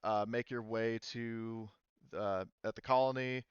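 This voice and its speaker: A man playing a businesslike colony radio operator who gives halting, official warnings in a tabletop game. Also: mid-range voice, steady tone, clear, resonant tone, no echo, dull audio, natural voice.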